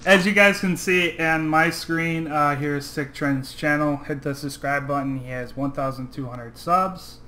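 A man with a somewhat high voice hosts a homemade interview, upbeat but a little unsure of himself. He leans on fillers and small laughs, with faint noise under the recording. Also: unhurried, hardly any pauses, bright, forceful tone. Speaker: interviewer, channel host. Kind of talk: casual online interview.

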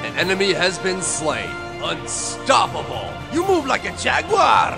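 A man voicing a hyped esports game announcer with theatrical, drawn-out exclamations over music. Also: high-pitched, huge pitch swings, gravelly, exaggerated voice. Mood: commanding. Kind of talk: video game announcer callouts.

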